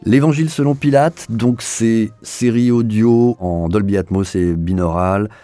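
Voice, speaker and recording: A man with a mellow, relaxed tone and a slightly low pitch gives spontaneous interview answers as a director. He is earnest and searches for his words, often doubling them. Faint music plays behind him. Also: unhurried, no room sound. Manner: earnest, hesitant searching delivery with repeated words. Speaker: audio drama director being interviewed.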